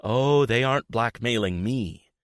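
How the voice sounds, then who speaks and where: A man's voice: mid-range voice, big pitch swings, normal speed, no echo, studio quality, voice pushed up. A man voicing a bitter, betrayed young woman in an audiobook, sarcastic and accusing, his voice raised well above his own. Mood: furious. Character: furious betrayed young woman, sharp and scornful. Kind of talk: audiobook character voice.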